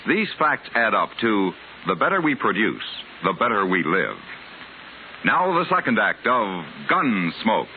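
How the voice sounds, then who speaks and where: Animated low-voiced man, vintage radio announcer. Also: a little slow, phone-quality sound. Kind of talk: 1950s radio announcing, scripted. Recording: thin, hissy old radio recording.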